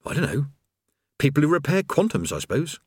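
A gloomy, world-weary wizard, played by a man reading an audiobook answers in a dry, matter-of-fact voice with a lively lilt. The sound is close and dry, like an audiobook booth. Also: a little high, brisk, slightly rough, no room sound, voice pushed up, heavily acted. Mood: wry. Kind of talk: audiobook character voice.

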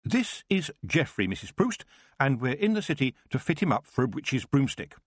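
A man's voice: medium pitch, sing-song, moderate pace, husky, no room sound, voice pushed up. A man reading aloud, voicing an earnest young woman witch who is proud of her craft. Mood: earnest, confident. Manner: earnest, heartfelt.

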